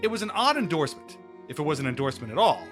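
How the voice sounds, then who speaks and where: A man's voice: a little high, big pitch swings, rapid-fire, over music. A man hosting a podcast in a theatrical, announcer-like, mock-formal way, moving between banter and dramatic reading. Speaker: podcast host.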